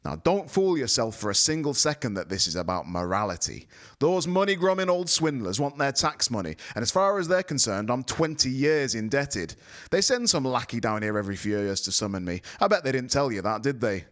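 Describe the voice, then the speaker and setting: A man voicing a gruff, foul-mouthed powerful wizard with a thick accent, sarcastic and swearing. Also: a little high, expressive, fairly quick, hardly any pauses, pitched above the performer's own voice. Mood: scornful. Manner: sarcastic, swearing, loud ranting.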